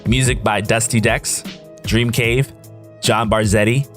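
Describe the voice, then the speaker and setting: Lowish-voiced male credits announcer. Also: fairly even intonation, a little slow, fairly bright tone, soft background music. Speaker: announcer reading end credits. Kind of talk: scripted credits narration.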